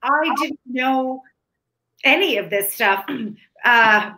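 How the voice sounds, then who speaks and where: A bright, fairly high-voiced woman from Canada whose first language is Ukrainian, talking through a film review on a video call from home. She rambles a little, restarting phrases and piling on fillers as she goes. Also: very slow, low-quality recording. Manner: talkative, many restarts and fillers. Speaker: film critic. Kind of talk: casual film review chat. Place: home room.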